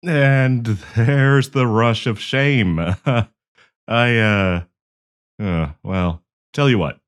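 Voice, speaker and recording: A man acting a slow, stammering, lovestruck nervous guy. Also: medium pitch, clear, resonant tone. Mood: shy, embarrassed. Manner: stammering, hesitant, with nervous laughs. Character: nervous, awkward young man shyly confessing love to a friend. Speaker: audio roleplay performer. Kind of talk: intimate audio roleplay acting.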